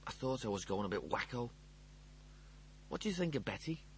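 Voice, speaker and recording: Guy doing a grumpy young slob for an audiobook, blunt, animated, faint hum behind. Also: medium pitch, a little fast, pitched above the performer's own voice, lightly acted. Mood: casual, confiding. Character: grumpy, slobbish young man, blunt and bewildered. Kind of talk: audiobook character voice.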